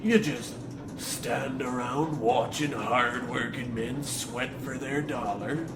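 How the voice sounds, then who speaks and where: A man playing a gruff, scornful veteran coal mine foreman in a comic radio play, with a folksy, menacing delivery. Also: medium pitch, steady tone, slow, loud music behind the voice, natural voice. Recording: roomy, noisy mine ambience.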